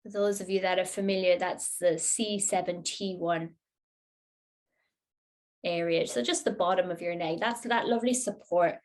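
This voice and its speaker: Gentle woman guiding relaxation. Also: lowish voice, steady tone. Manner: gentle, unhurried, soothing. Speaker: yoga nidra teacher guiding a class. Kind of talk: guided relaxation instruction.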